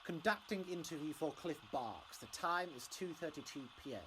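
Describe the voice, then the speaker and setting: An actor playing a menacing, smugly polite government enforcer in an audio drama, speaking formally and condescendingly. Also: male voice, fairly high pitch, lively intonation, moderate pace, background noise, lightly acted. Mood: cold threat. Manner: formal, condescending, veiled threats.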